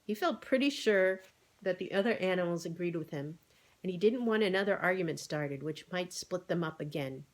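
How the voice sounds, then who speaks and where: A woman's voice: on the low side, moderate pace. A woman narrating a children's storybook expressively as a read-aloud.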